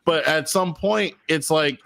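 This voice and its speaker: Male co-host, even mid voice, explanatory, dry. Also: fairly high pitch, measured pace, gravelly, dry recording. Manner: explaining, reads viewer comments. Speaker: rideshare podcast co-host. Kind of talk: casual live-stream chat.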